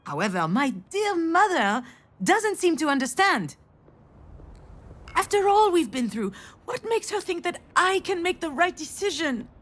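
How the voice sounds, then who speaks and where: An actress playing an anxious, sardonic, self-deprecating daughter in a visual novel, speaking in a wry, confiding way. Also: female voice, extremely high pitch, big pitch swings, measured pace, exaggerated voice. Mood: trapped, bitter. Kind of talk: visual novel voice acting, inner monologue and dialogue.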